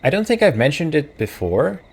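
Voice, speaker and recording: A man's voice: fairly high pitch, sing-song, a little fast, gravelly, breathy edge. A man with a Czech accent, a native Czech speaker, hosting a let's play in casual commentary, reading game text aloud and musing through self-corrections as he thinks aloud.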